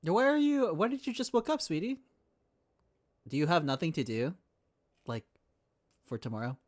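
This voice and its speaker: A woman's voice: low-pitched, lively intonation, moderate pace, darkish tone. A woman live streamer chatting casually with her viewers in a playful, affectionate way. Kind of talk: casual livestream chat with viewers.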